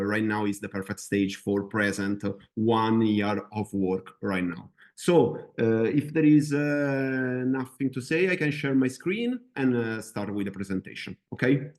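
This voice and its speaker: Male guest presenter, Italian accent, average pitch, halting second-language English delivery. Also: darkish tone. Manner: hesitant, halting non-native English. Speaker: guest presenter, MRI radiographer. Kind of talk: webinar presentation.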